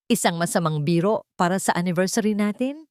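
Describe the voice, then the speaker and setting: A woman's voice: on the low side, expressive, fairly quick, darkish tone, clean tone, no room sound, studio quality, lightly acted. A woman voicing a frightened, betrayed young wife who stammers and pleads in a trembling voice in a dramatic story. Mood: pleading. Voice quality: trembling, breaking voice.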